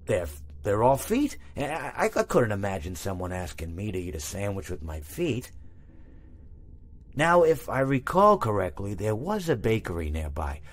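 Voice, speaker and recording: A man's voice: on the low side, wildly swooping pitch, moderate pace. A man voicing a long-winded male hippo storyteller from a game, meandering from tangent to tangent with stammered restarts. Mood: rambling. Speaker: game character voice lines. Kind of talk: game voice acting.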